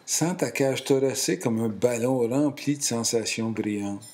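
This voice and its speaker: A man leading a guided relaxation in a calm, soothing and deliberate way, in short phrases. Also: medium pitch. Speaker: guided meditation instructor.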